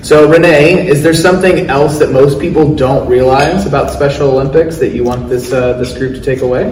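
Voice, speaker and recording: A man moderating a live panel in a casual way, with lots of fillers, often trailing off quietly. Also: mid-range voice, a little fast, a little hiss or hum. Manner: casual, filler-heavy, drops his voice. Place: large event hall. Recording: distant mic in a roomy hall.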